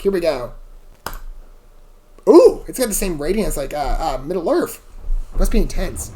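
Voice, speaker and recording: Woman, slightly low voice, very varied pitch, casual reaction commentary, chatty, digressive. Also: dark tone, slight background noise. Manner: chatty, digressive, apologetic asides. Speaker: host of a video game trailer reaction channel.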